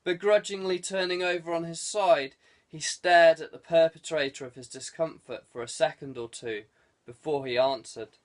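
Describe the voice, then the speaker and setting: A man narrates a crime novel as an audiobook. His voice is a little higher than average, and he reads from a script in storytelling style. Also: fairly even intonation, moderate pace.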